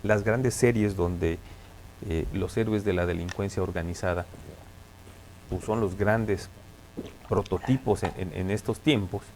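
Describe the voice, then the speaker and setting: A low-pitched man hosting a news interview. He cuts in briefly and finishes his guest's thoughts, with a faint low rumble underneath the voice. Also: fairly even intonation, measured pace, long pauses, darkish tone, poor audio. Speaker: interviewer, host. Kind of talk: conversational interview.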